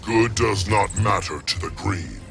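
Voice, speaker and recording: An actor playing a solemn, stern plant monster who guards nature in a video game, speaking formally and gravely. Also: male voice, lively intonation, music in the background. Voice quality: deep, gravelly. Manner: slow, formal, humorless. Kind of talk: video game character acting.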